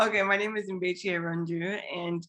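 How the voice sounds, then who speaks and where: A woman's voice: fairly low pitch, fairly even intonation, moderate pace, fairly bright tone. A woman from Iowa with an American accent, speaking informally as a guest panelist introducing herself. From Iowa, United States. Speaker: panelist, physician and fiction writer.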